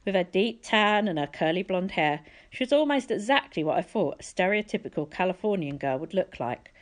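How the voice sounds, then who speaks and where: Expressive, low-pitched woman reading aloud. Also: a little fast. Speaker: audiobook reader. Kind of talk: scripted first-person story narration.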